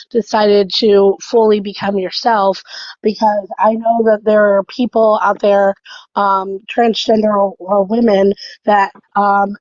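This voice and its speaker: Woman, 30s, caller, earnest, halting, dry muffled audio. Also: mid-range voice, no echo. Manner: hesitant, lots of ums, earnest. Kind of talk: casual call-in chat.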